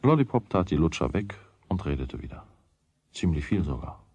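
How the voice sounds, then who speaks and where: An actor playing the storyteller, narrating a German children's radio play from a script. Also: male voice, extremely low pitch, very animated, moderate pace, warm tone, slightly rough, no room sound, dull audio, full character voice. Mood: calm storytelling. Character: male storyteller of a children's tale.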